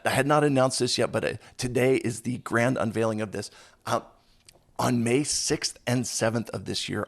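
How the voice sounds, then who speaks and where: A man hosting a podcast while hoarse from a cold, talking in an upbeat, self-deprecating, joking way. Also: mid-range voice. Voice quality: raspy, gravelly. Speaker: podcast host. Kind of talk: casual interview chat.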